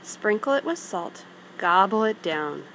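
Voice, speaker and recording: A woman's voice: mid-range voice, big pitch swings, a little slow, fairly bright tone, slight background noise, low-quality recording. A woman reading a children's story aloud in a narrating style, as a storybook reader.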